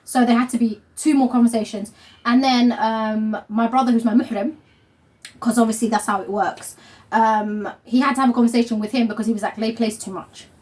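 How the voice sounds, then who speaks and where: A woman's voice: on the high side, fairly even intonation, fairly quick, darkish tone. A young woman of Somali family hosting a casual couple vlog at home, chatty and rambling, with lots of fillers and laughter. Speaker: vlog host. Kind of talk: casual chat.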